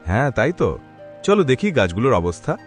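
An actor playing a friendly, hardworking village farmer in a cartoon. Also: male voice, mid-range voice, fast talker, soft background music, close to an everyday voice. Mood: cheerful. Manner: friendly, animated. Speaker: farmer Faisal. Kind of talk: cartoon voice acting.

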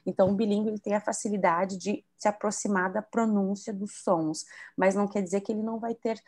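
A woman's voice: mid-range voice, steady tone, a little fast, darkish tone. Woman from southern Brazil, explaining. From southern Brazil (Rio Grande do Sul). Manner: didactic, explanatory, frequent tag questions. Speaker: bilingualism educator and author. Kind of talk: explanatory talk on a video call.

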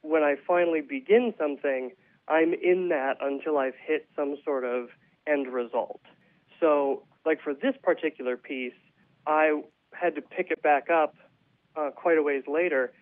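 Male interview guest, slightly high-pitched, thoughtful and conversational, heard through a narrow telephone connection. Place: phone call to a radio show. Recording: tinny narrow phone line.

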